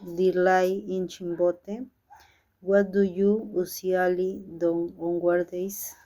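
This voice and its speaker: A young woman from Peru with a Peruvian Spanish accent, a Spanish speaker and language student, reading a practice dialogue aloud haltingly. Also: on the low side, level tone, warm tone. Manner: halting, reads haltingly with restarts.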